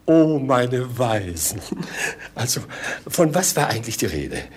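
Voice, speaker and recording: A male actor playing a flustered, pompous, philosophizing king in a 1950s radio play, theatrical and halting. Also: medium pitch, very animated, slightly rough. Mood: pompous, forgetful. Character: muddled, pompous, anxious philosophizing old king. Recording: 1950s radio drama recording.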